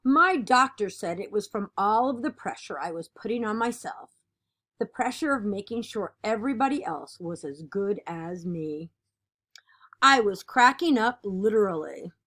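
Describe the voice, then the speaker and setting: A woman voicing an earnest, dutiful egg from a children's picture book, with expressive and deliberate storytelling. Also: medium pitch. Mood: weary, fretful.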